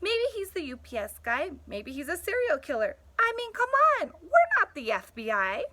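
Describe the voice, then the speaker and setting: A woman's voice: high voice, huge pitch swings, normal speed, fairly bright tone, pitched above the performer's own voice, heavily acted. A woman voicing a blunt, cynical male stray dog who narrates a storybook in a sardonic, punchy way. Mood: wry, animated. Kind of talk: storybook first-person narration.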